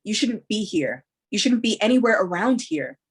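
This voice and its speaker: An actress plays a resigned woman police chief, stern and short with her words, cutting a subordinate off with cold replies. Her pitch sits in a middle range. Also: expressive, normal speed, husky. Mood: curt. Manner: curt, clipped, cold. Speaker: actor in a staged reading. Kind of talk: staged play reading.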